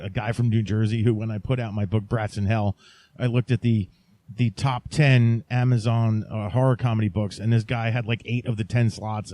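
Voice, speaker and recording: A man's voice: medium pitch, warm tone, no echo. A man hosting a podcast solo, talking casually and enthusiastically with frequent digressions. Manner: informal, digressive, enthusiastic about indie writers. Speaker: podcast host.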